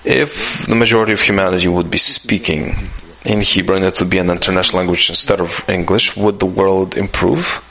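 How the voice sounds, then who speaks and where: Man, live interpreter, question-and-answer session, halting, restarts, lowish pitch, bright tone. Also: steady tone, low-quality recording. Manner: halting, with frequent restarts and fillers.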